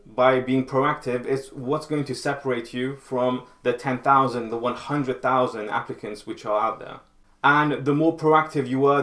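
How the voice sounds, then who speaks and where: A man's voice: mid-range voice, a bit bright. A man giving direct, advisory career coaching in a scripted instructional video. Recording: roomy sound with faint music.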